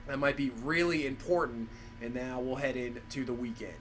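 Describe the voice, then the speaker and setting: A man's voice: medium pitch, moderate pace, a little hiss or hum. A man with a cold, rambling through casual gameplay commentary and leaning on fillers like "obviously" and "for sure". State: has a cold. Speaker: gaming channel host.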